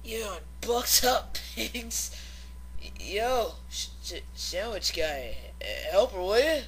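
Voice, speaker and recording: A woman voicing a rowdy drunk young mare in a fan fiction audio reading, with slurred, halting, hiccupy speech. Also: medium pitch, lively intonation, slight background noise, pitched above the performer's own voice, rough recording.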